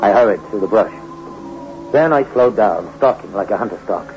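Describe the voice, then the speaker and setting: A man's voice: on the low side, animated, moderate pace. An actor playing a tough, greedy fugitive who tells his crime tale in a hard-boiled, slangy 1960s radio suspense style. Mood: grim, suspenseful. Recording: dull old radio recording with music.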